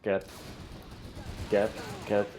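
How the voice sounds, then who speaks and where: A man's voice: fairly low pitch, completely flat delivery, drawn-out words, a little mellow. A man chatting casually as co-commentator on a live video game match. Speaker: esports co-commentator.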